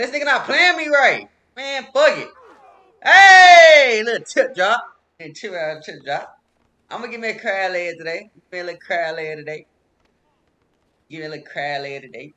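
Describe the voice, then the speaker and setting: Loud, lively young streamer trash-talking. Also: male voice, high-pitched. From Texas. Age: young adult. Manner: loud, profane trash talk at the game. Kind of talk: live gameplay commentary. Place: indoor room at home. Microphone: headset.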